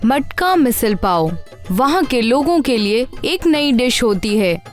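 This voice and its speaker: A woman narrating a scripted animated tale as a storyteller. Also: a little high, slow pace, faint music behind.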